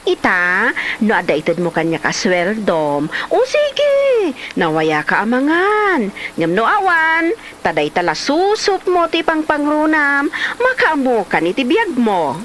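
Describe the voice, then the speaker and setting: A woman's voice: high-pitched, wildly swooping pitch, slow pace, fairly bright tone, slight background noise, heavily acted. A woman playing an aggrieved young mother and wife in a comedy drama, with a Filipino accent and a dramatic, exclamatory delivery. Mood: scolding, indignant.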